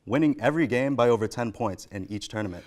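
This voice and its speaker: A man's voice: medium pitch, fast, darkish tone. A man co-hosting a sports talk show, moving between scripted setups and discussion.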